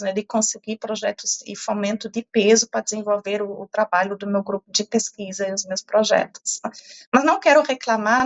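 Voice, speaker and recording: Woman a lilt, a bit muffled. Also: fairly high pitch. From Portugal. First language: German. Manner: thoughtful, many fillers and restarts. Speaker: university professor. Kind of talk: interview answers.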